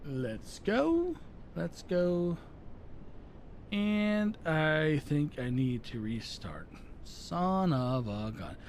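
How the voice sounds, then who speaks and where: A man's voice: fairly high pitch, big pitch swings, drawn-out words, a little mellow, a little hiss or hum. A man with a voice worn from overuse, rambling casually through live gameplay commentary and often correcting himself. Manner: rambling, self-correcting chatter. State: losing his voice. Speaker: live streamer.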